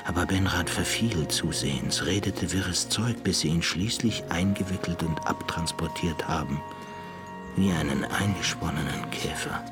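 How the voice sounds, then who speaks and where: A male actor playing a thoughtful, brooding half-ape who looks back in hushed, measured radio drama narration with a gravelly voice. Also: loud music behind the voice, close to an everyday voice. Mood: sombre. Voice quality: gravelly, breathy low voice.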